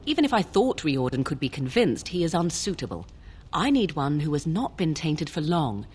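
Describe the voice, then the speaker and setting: An actress playing a mysterious, guarded young sorceress in a fantasy game, speaking in a cool, formal, archaic manner. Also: female voice, sits low, sing-song, normal speed, a little mellow, breathy edge, exaggerated voice. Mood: firm. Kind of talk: fantasy video game dialogue.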